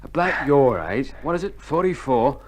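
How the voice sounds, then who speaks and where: An actor playing a cheeky, complaining Australian army private in a radio drama, talking in slangy colloquial style. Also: male voice, mid-range voice, sing-song, moderate pace. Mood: griping. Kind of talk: 1960s radio drama acting. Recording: noisy old vinyl radio recording.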